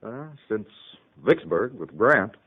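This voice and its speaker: A stern veteran army major with a lowish, very animated voice. He is authoritative and clipped, in fifties radio drama style, heard through a narrow old broadcast. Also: slow, slightly rough, full character voice. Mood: amused, nostalgic. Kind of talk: 1950s radio western acting.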